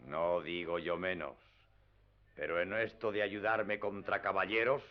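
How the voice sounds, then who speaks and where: Grandiose old knight-errant: deep, solemn, pompous radio-play delivery, slightly dull sound. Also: male voice, flat delivery, measured pace. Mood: solemn, instructive. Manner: pompous, declamatory, archaic formal speech. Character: grandiose, self-assured elderly knight-errant, stern and solemn. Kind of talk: radio drama acting. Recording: dull, muffled radio recording.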